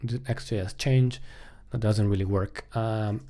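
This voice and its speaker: Mellow-voiced man thinking aloud while coding. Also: fairly low pitch, steady tone, measured pace. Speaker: live-coding streamer.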